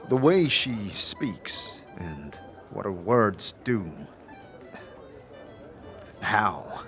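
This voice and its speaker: An actor playing a laconic, dry-humoured and somewhat hesitant wanderer in an audio drama. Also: male voice, fairly low pitch, big pitch swings, drawn-out words, fairly bright tone, soft background music, exaggerated voice. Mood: curious, hesitant. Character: weary, dry-humoured male wanderer, curious and polite. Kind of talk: scripted audio drama acting.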